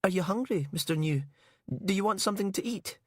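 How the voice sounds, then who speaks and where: Audiobook thriller: male reader as weary captive woman, lifted pitch, haunted, plain-spoken. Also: brisk, no room sound, clean studio sound. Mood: calm. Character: weary captive woman, calm, haunted, talks to dead husband.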